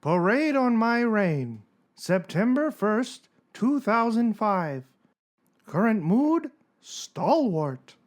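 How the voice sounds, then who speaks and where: A man's voice: sits high, big pitch swings, extremely slow, exaggerated voice. A man playing a solitary, melancholy cartoon blogger in a dramatic reading, pedantic and plaintive. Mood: fussy, melancholy. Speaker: performer in a podcast dramatic reading. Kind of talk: dramatic reading of a comic blog.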